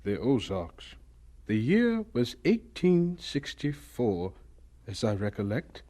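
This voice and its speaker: An actor playing a dignified, wry old American scientist who reminisces in a deliberate, folksy way in a radio drama. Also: male voice, mid-range voice, wildly swooping pitch, mellow. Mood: reminiscing, wry. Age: older.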